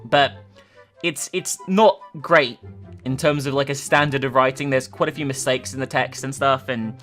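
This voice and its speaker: A woman's voice: a bass voice, fairly even intonation, normal speed, a bit bright. A woman narrating and commenting in a dramatic but casual story-reading style. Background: faint music.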